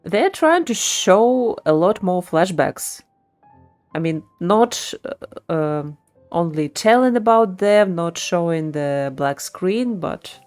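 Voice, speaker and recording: Slow-talking, lowish-voiced woman streamer. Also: darkish tone. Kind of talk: casual live game commentary. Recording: game music behind the voice. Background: video game music.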